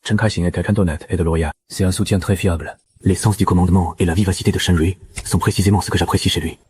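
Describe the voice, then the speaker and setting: A man voicing an authoritative team leader in a French-dubbed animated series, over a noisy background. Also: deep voice, quick pace, close to an everyday voice. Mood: approving. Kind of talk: scripted animated series dub.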